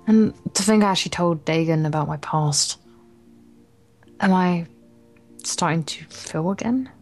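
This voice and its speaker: A woman's voice: fairly low pitch, measured pace, a little mellow, soft background music. A woman voicing a bitter, lonely teenage schoolgirl from a dating game, guarded and dramatic in her inner monologue. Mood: brooding, weary. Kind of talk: visual novel inner monologue read aloud.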